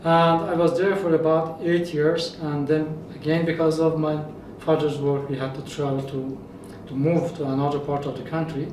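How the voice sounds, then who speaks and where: A man from Iran whose first language is Azerbaijani Turkish, a professor answering interview questions in a measured way with frequent fillers. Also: fairly high pitch, little pitch movement. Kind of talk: conversational interview. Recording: echoey room, mic at a distance, faint noise.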